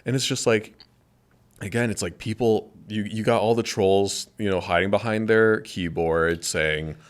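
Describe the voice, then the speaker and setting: Rambling man with a lowish voice. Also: unhurried. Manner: rambling, full of fillers and restarts, animated. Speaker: podcast guest. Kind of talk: casual chat.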